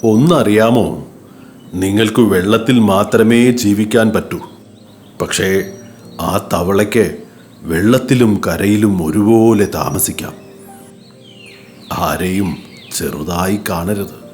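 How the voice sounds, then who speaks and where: A man voicing a wise, patient tortoise in a children's cartoon, giving measured moral advice. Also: on the low side, darkish tone, faint music behind. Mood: patient warning. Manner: measured, admonishing. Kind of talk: children's cartoon story voice acting.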